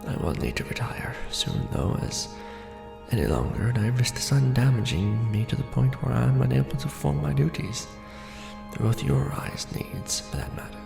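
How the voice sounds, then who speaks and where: A man playing a courtly, brooding vampire lord in an ASMR roleplay, speaking formally and deliberately in a hushed, breathy, gravelly voice. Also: medium pitch, expressive. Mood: polite, gentle. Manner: formal, hushed, deliberate, theatrical aristocratic address. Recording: roomy echo under background music. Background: music.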